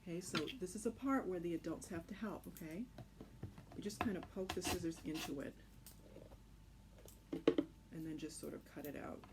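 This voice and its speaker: Craft teacher lady, kinda low voice, casual n reassuring tutorial, noisy home recording. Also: moderate pace, pauses often. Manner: informal, reassuring. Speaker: children's craft instructor.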